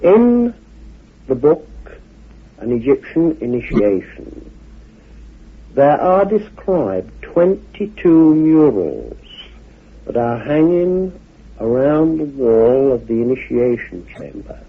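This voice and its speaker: A man giving an explanatory lecture on esoteric history, speaking in a deliberate, measured way with emphatic phrasing and pauses, on a muffled vintage radio recording. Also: mid-range voice, big pitch swings, husky. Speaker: lecturer, guest speaker on a documentary.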